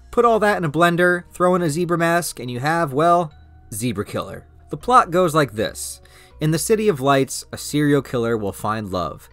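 A man's voice: medium pitch, fairly bright tone. A man giving a scripted promotional narration as a video host. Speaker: YouTube host presenting a project.

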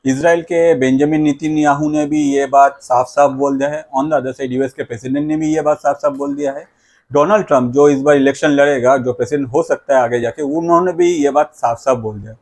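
A man's voice: mid-range voice, fairly even intonation, a little fast, a little mellow. A man, explaining news to camera in an explanatory way, mixing in English phrases. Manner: explanatory, repetitive catchphrases with English phrases mixed in. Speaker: video creator, host. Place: small plain indoor room. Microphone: lapel mic.

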